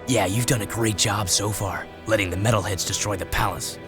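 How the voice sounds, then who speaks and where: A man plays a tough young hero in a video game. His voice is on the low side, cool and cynical with steady confidence, set against loud music. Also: brisk, natural voice. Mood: sardonic. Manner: cool, sardonic.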